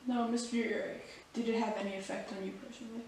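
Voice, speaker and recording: A woman's voice: a little high, flat delivery, quick pace, creaky, a little mellow, slight background noise. Young woman interviewer, echoey and distant. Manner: formal, polite. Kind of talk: reading prepared interview questions. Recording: echoey room, far from the mic.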